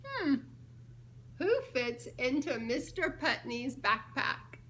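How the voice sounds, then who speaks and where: A woman with a high, bright voice leads a children's story time at a very slow pace. She reads playfully and puts questions to young listeners in a giggly, coaxing way. There is clear noise in the background. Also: sing-song. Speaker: storybook reader, children's ministry director.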